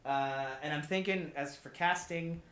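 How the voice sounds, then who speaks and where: A man chatting casually on a podcast as a guest, explaining things in a halting, rambling way with repeated words. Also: fairly high pitch, a bit bright. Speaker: podcast guest pitching a film adaptation. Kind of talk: casual chat. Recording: echoey room.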